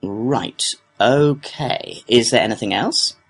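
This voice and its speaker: A man's voice: mid-range voice, takes it slowly, creaky. A man playing a courteous complaints clerk, reading scripted customer-service dialogue in a helpful, procedural way. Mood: courteous, businesslike. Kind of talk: scripted listening-test dialogue.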